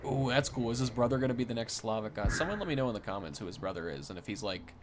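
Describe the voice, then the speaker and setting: A man's voice: mid-range voice, animated, rapid-fire. A young man hosting a gaming video, thinking aloud in casual, rambling, unscripted commentary. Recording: clear background noise from game. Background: video game sounds.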